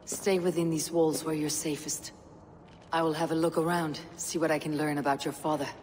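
A woman playing a stern, battle-hardened Viking warrior in a video game, blunt, measured and commanding. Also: low voice, little pitch movement, a little mellow, a little hiss or hum, close to an everyday voice. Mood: steady, reassuring. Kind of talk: video game cutscene acting. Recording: reverberant hall sound under music.

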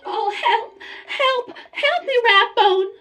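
An actress playing a frightened, pleading damsel in distress in a melodramatic old radio serial. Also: female voice, slow pace, pushed and bright, soft background music, full character voice. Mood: panicked. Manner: shrill, melodramatic. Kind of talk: 1940s radio serial acting.

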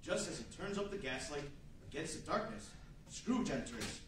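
Man playing high, animated 1940s radio announcer. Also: moderate pace. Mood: theatrical, wry. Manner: theatrical, wry. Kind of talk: 1940s radio narration on stage. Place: large indoor meeting room with seated audience. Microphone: far camera. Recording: very echoey room from far off, faint noise.

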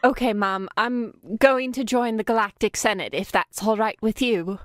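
A woman's voice: on the high side, moderate pace, dry recording, studio quality, natural voice. A woman playing a dutiful but restless young daughter in an improvised comedy fan dub. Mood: hopeful.

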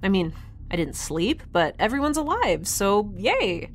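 A woman's voice: medium pitch, big pitch swings, unhurried, a little hiss or hum. A woman acting a flustered, sardonic young scientist stranded in space, in a conversational, rambling audio-drama style. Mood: chatty, wry. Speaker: narrator and lead of a sci-fi audio drama.

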